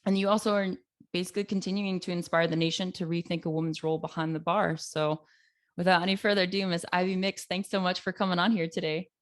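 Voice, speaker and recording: A woman's voice: lowish voice, level tone, fast talker. A woman hosting a casual interview podcast, chatty and upbeat, laughing often. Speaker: podcast host.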